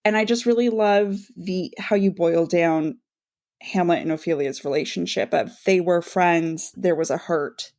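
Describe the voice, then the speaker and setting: A woman's voice: lowish voice, a little slow, darkish tone. A woman co-hosting a podcast interview, talking conversationally as she comments and leads into questions. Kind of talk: conversational book-talk interviewing.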